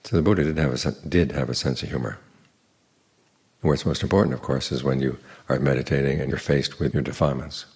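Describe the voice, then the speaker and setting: An older man, a Buddhist monk, giving an evening dharma talk in an unhurried, plain teaching manner with dry humor. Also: a little mellow. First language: English. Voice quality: very deep gravelly voice.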